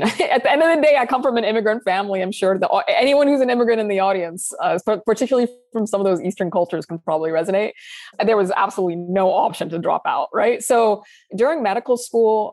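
A young adult woman from Sudan, a founder answering interview questions in a casual, animated way, laughing often and restarting sentences. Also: medium pitch, dry recording. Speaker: guest founder.

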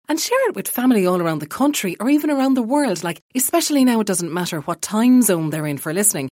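A woman's voice: mid-range voice, a little mellow, no echo, studio quality. An Irish woman chatting enthusiastically as a radio interviewer.

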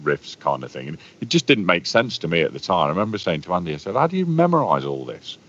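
A man with a deep, boomy voice rambles through stories as a guest in a casual conversation. His pitch sits very low. The sound is slightly muffled and lo-fi. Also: big pitch swings, quick pace, fairly bright tone. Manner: talkative, storytelling. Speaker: guest, bass player. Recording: muffled lo-fi audio.

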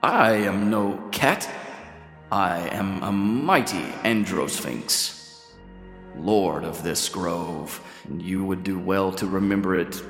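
A man's voice: sits low, animated, takes it slowly, vocal fry, fairly bright tone, reverberant, big-room sound, faint music behind, exaggerated voice. A man voicing a young male sphinx cub who speaks in a grand, formal, declaiming way. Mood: haughty.

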